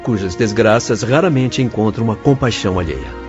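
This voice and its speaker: A Brazilian actor playing a compassionate spirit doctor in a radio drama, counselling in solemn sermon tones with swelling emphasis. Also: male voice, lowish voice, brisk, lightly acted. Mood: grave, compassionate. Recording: dull lo-fi audio over music.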